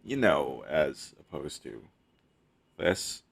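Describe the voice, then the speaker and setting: Man acting as a deep gravelly teasing captive hero. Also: big pitch swings, slow, heavily acted. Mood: wry, teasing. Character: captured superhero posing as a hostage, teasing, flirtatious, coy. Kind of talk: intimate scripted audio roleplay.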